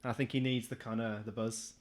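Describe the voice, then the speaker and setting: A man's voice: mid-range voice, flat delivery, fast talker. A man chatting casually as a podcast co-host, blunt and jokey with his friends. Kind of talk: casual film chat among friends.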